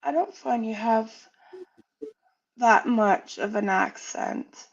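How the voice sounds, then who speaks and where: A Canadian woman with a Canadian accent, a guest on the panel, speaking softly in short replies. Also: on the high side, slow, gravelly, husky. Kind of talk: casual chat. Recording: dull lo-fi audio.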